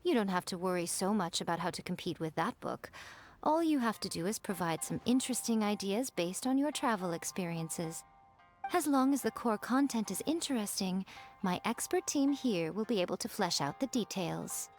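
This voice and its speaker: A woman's voice: mid-range voice, animated, normal speed, darkish tone, natural voice. A woman voicing a sly, elegant fox-spirit lady in a video game, self-assured and teasing, with a poised, condescending way of talking. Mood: poised, persuasive. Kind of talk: video game character voice acting. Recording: background music.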